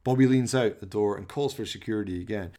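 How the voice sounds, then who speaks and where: A man with a gravelly voice narrating a dramatic scripted radio play. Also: fairly low pitch, quick pace, heavy background noise.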